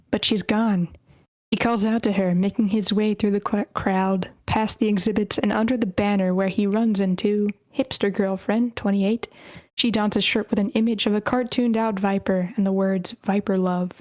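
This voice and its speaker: Woman reading screenplay directions in an even tone. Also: medium pitch, dry recording. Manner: measured, slightly stumbling reading. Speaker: stage directions reader. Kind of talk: screenplay table read narration. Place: online meeting.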